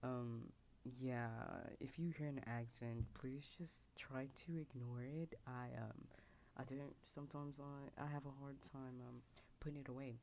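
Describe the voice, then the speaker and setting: Young American woman, very low register, soft rambling ASMR whisper, close desk mic. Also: fairly even intonation, measured pace, a little hiss or hum. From United States. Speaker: ASMR storyteller. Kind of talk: whispered ASMR roleplay storytelling. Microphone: desk mic lowered near a keyboard. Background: keyboard typing sounds.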